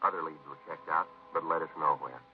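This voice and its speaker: An actor playing a terse American police detective in a 1950s radio drama, narrating in a deadpan, clipped manner. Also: male voice, extremely low pitch, a little fast. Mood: matter-of-fact. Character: terse, businesslike male police detective, narrating his case. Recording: tinny, noisy vintage radio recording.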